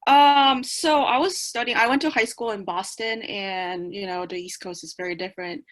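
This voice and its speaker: A woman's voice: medium pitch, moderate pace. A woman in her early twenties from South Korea, answering interview questions casually as a college student on a video call from a small room. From South Korea, moved to the US at eleven. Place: small bedroom, indoors.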